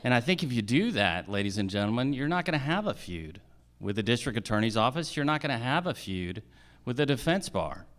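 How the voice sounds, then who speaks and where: Firm, smooth-voiced American male candidate. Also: medium pitch, very animated, a little fast, fairly bright tone. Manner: firm, rhetorical repetition. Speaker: judicial candidate. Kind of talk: political stump speech.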